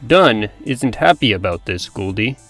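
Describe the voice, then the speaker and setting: A woman's voice: very deep voice, very animated, measured pace, no room sound, soft background music, full character voice. A woman voicing an anxious pig revolutionary in a comic dub, fretful and indignant. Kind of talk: comic-book dub acting.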